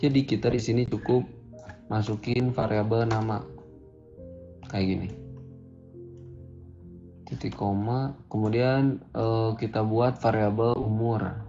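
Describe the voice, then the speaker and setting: A man's voice: fairly low pitch, fairly even intonation, takes it slowly, vocal fry, pauses often, dark tone. A man teaching a programming lesson over a screen recording, talking casually and thinking aloud as he codes. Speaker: coding instructor. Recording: dull lo-fi audio with faint music.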